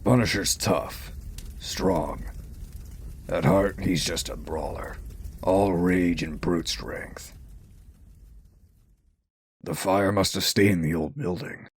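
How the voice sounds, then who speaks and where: A man voicing a grim masked vigilante detective in a comic dub, husky and terse, like a stern inner monologue. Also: slow pace, pauses often, slight background noise. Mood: brooding. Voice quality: husky, low. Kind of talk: comic dub dramatic narration.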